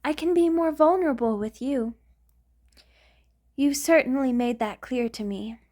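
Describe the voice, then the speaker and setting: A woman's voice: sits high, measured pace, a little mellow. A woman voicing a centuries-old vampire lover in an intimate ASMR roleplay, doting and anxious, with a hesitant, sighing delivery. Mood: fond, reminiscing. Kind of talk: ASMR romantic roleplay monologue.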